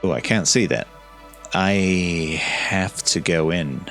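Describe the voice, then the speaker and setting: A man's voice: low-pitched, takes it slowly, a bit bright, faint music behind. A man giving enthusiastic, informal commentary as the host of a gameplay video.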